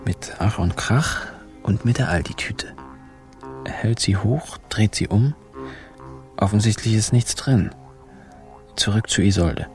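An actor playing a sly, laconic narrator in a radio crime play, telling the story with wry, clipped phrasing. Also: male voice, fairly low pitch, unhurried, pauses often, a little mellow, soft background music. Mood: dry. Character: wry, sly male narrator telling his own swindle.

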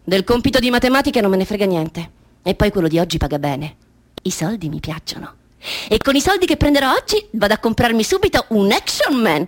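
Petulant schoolgirl, high and swooping. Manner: petulant, musing, girlish. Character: bored, capricious schoolgirl, restless and knowing. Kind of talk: radio drama monologue.

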